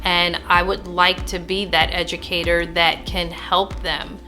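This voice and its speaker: A woman teacher from New Jersey, speaking earnestly in a bright, clear tone. Her pitch stays low and level, with little rise or fall. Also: level tone, measured pace, faint music behind. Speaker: literacy teacher. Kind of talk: interview testimony.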